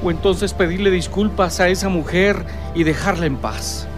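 A man's voice: high-pitched, normal speed, natural voice. A man acting in a radio drama as an anguished, obsessive widower, speaking in a brooding, melodramatic monologue. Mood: anguished wonder. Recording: music behind the voice.

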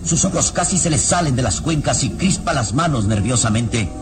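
A man's voice: medium pitch, animated, moderate pace, noisy background. A man narrating a radio drama in a dramatic scripted style.

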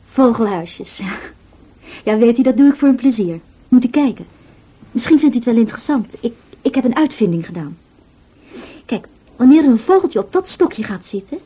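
A self-assured young woman in a radio drama, a student of faded nobility who speaks bluntly. Her voice sits fairly high, with a mellow, slightly husky tone. She is informal and quite unembarrassed. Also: lively intonation, moderate pace, pauses often. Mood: enthusiastic. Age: young adult. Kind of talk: radio play dialogue.

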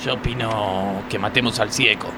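An actor playing a resentful, sarcastic factory worker with an Argentine accent in a radio comedy sketch. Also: male voice, fairly low pitch, steady tone, normal speed, very noisy, natural voice. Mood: disgruntled. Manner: muttering sarcasm, grumbling.

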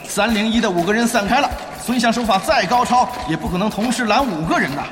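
A man playing an excitable, emphatic esports play-by-play commentator in an audio drama, prone to dramatic exclamations. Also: high voice, fast, roomy sound, over music, lightly acted. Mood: curious, engaged. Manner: emphatic, exclamatory, building to shouts.

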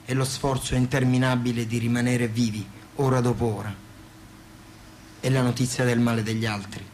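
A man's voice: medium pitch, little pitch movement, moderate pace, a little mellow, a little hiss or hum, close to an everyday voice. A man acting in radio theatre as a dreamy, boastful working-class poet. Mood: weary, reflective.

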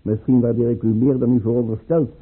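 Man acting a raspy, troubled imperial chancellor. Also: medium pitch, steady tone, close to an everyday voice. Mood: indignant. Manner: formal, measured, troubled. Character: anxious, principled imperial chancellor, reluctant conspirator. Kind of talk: Dutch radio play acting. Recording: muffled, narrow-band old radio recording.

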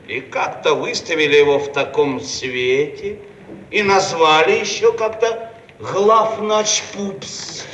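An actor playing a pompous, self-satisfied Soviet bureaucrat who speaks officiously, in a theatrical radio comedy style. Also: male voice, sits high, fairly bright tone, full character voice. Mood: disapproving. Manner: pompous, hesitant, bureaucratic phrasing. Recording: echoey, distant archive radio recording, faint noise.

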